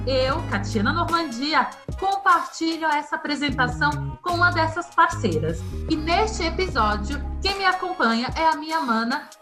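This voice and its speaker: A woman hosting a podcast, delivering the scripted show opening in an announcer-like way. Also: high-pitched. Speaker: podcast host. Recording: roomy sound with music underneath.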